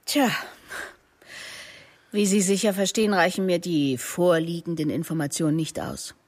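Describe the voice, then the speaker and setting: A woman's voice: fairly low pitch, steady tone, normal speed, darkish tone, no echo, lightly acted. A woman acting in a German radio drama as a composed police investigator, formal and controlled as she questions. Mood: probing, firm. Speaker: actor playing a police investigator.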